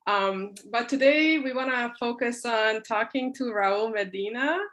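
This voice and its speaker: A woman's voice: on the high side, a little slow, a bit bright. Upbeat woman hosting a podcast. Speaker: podcast host.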